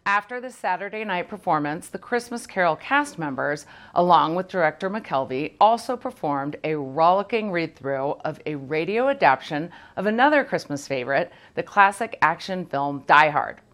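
A woman presenting a local news segment in a scripted, measured read. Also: lowish voice, expressive, a bit bright.

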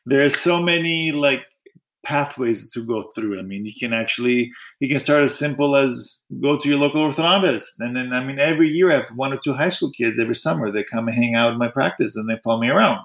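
A middle-aged man who grew up in Greece answers questions about his work as an orthodontist. He talks in a loose, halting way, starts sentences again and fills gaps with "like" and "um". His pitch sits in the usual middle range for a man. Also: a bit bright. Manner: halting, many restarts and fillers. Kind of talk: interview answers.

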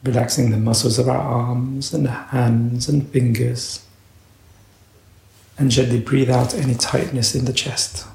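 A man leading a guided meditation as a teacher, speaking in a slow, measured way with long pauses. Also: mid-range voice, flat delivery, vocal fry, a little mellow, reverberant, low-quality recording.

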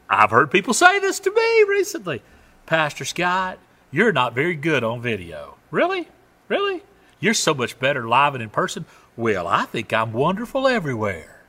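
A middle-aged pastor with a Southern US drawl delivers a sermon in a bright, forward voice. His pitch rises and falls freely as he moves from loud, emphatic lines to hushed, confiding asides. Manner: conversational preaching, swings between loud emphasis and quiet asides.

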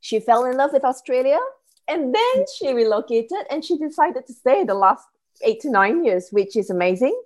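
A woman's voice: high-pitched, very animated, moderate pace, darkish tone. Effusive woman hosting a podcast. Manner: enthusiastic, effusive. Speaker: podcast host, business coach. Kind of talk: podcast hosting, introducing a guest.

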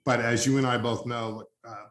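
An older man from Long Island hosts a relaxed conversation, earnest and rambling as he circles back to correct himself. His voice sits at an ordinary pitch and carries a noticeable room echo. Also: moderate pace. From Long Island, New York. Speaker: podcast host. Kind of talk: casual interview chat.

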